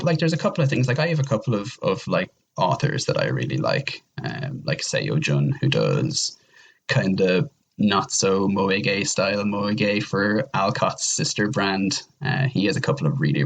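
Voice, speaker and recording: Low-voiced man with a husky edge. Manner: rambling, enthusiastic, repeats intensifiers. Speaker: podcast guest. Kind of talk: casual chat, recommending games.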